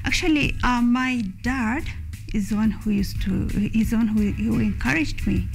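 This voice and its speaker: High, creaky-voiced Kenyan woman. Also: normal speed, soft background music. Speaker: musician guest. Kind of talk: casual studio interview, switching between English and Swahili. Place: TV studio.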